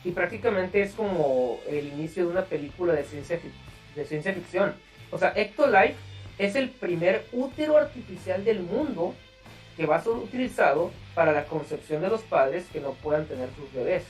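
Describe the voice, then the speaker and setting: A man's voice: high-pitched, lively intonation, normal speed, far from the mic, soft background music, rough recording. A man with a Mexican accent hosting a podcast in a casual, slangy, profane way. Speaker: podcast host.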